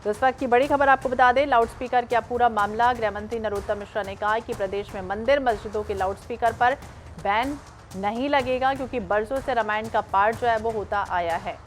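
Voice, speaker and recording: A woman's voice: on the high side, steady tone, brisk, faint music behind. A woman anchoring breaking television news, repeating headlines with emphasis.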